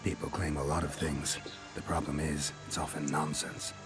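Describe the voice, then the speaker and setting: A man playing a cynical, brooding monster hunter in a video game, with a gravelly voice and a terse, deadpan delivery. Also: very deep voice, moderate pace. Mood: dry, sceptical. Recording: loud music under the voice.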